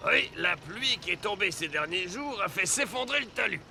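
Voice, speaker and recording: A friendly talking construction vehicle in a cartoon. The voice is very bright and animated, with a hard-working cheer. Faint engine noise sits behind it. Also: male voice, sits high, moderate pace, vocal fry, heavily acted. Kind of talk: animated children's cartoon dub.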